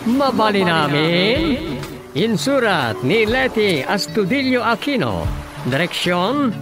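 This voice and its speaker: A Filipino man announcing a scripted radio drama, reading out the title and credits. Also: sits high. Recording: music behind the voice. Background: music.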